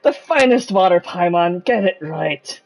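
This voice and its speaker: A woman's voice: lowish voice, expressive, unhurried. A woman giving casual, chatty, playful live commentary as she streams a game. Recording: dull, lo-fi mic. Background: game music.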